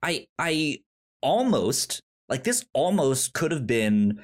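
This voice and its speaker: A podcast co-host in casual film discussion, speaking haltingly and often stammering on repeated syllables. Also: medium pitch, sing-song.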